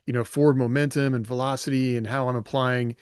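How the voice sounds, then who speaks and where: A man's voice: mid-range voice, flat delivery, fast, darkish tone, clean tone, dry recording, clean studio sound. A man co-hosting a podcast in casual discussion, talking things through conversationally with questions and comparisons. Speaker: podcast co-host.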